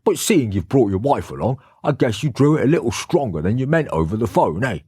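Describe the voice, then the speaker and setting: A man reading aloud who voices a stout, blunt male detective with a husky, folksy manner. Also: medium pitch, big pitch swings, brisk, a little mellow, dry recording, clean studio sound. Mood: gruff. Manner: folksy, gruff, ungrammatical.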